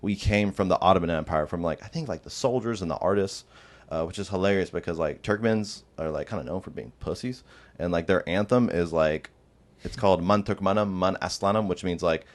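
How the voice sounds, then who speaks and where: A man's voice: low voice, fairly quick. A man in his twenties, raised in the American South, telling his life story as a podcast guest with lots of "like" fillers. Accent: light Southern American. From Iraq, raised in Georgia, USA. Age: 20s. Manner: rambling, many "like" fillers, laughs often.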